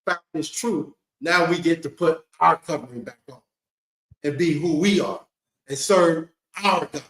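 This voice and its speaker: A man leads a holy day gathering in a loose, chatty preaching style, peppering his talk with "okay?" and "you know what I mean?". His voice sits a little high for a man, and the room answers back with a noticeable echo. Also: fairly bright tone. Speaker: congregation leader. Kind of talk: informal sermon to a congregation. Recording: echoey hall.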